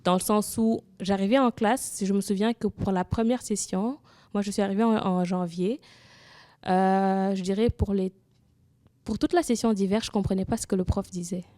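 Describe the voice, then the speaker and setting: A woman's voice: medium pitch, steady tone, normal speed, warm tone, no room sound. Woman speaking in a dry room. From Senegal. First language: Wolof. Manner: earnest, many restarts and "comme". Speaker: guest. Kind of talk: personal testimony in an interview.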